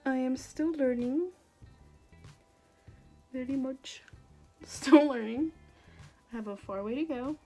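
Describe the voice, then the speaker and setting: A young woman with a raspy, sore-throated voice, chatting casually and joking at her own expense while she does her makeup. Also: high-pitched, takes it slowly, long pauses, dark tone, faint music behind. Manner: self-deprecating, jokey, rambling. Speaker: beauty vlogger.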